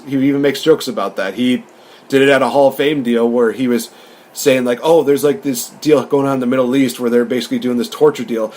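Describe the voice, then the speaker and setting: Casual man chatting about movies. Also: mid-range voice. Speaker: podcast co-host. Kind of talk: casual movie review chat.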